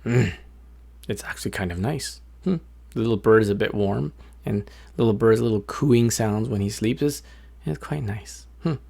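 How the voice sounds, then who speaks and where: A man voicing a big, tired, grumpy but soft-hearted wolf in a children's story, with weary grumbling. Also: on the low side, normal speed, creaky. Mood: softened, relaxed.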